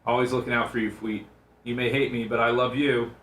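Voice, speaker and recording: A man's voice: medium pitch, level tone, brisk, a bit bright. A male radio co-host trading casual, joking banter in a teasing, needling manner, with an echoey room sound.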